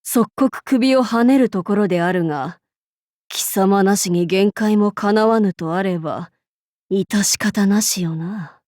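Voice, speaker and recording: A woman's voice: mid-range voice, a little slow, darkish tone, no echo, studio quality, lightly acted. A woman voicing an imperious, haughty demon-king warlord in a mobile game, speaking in pompous, archaic lordly Japanese phrasing. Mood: grand, imperious.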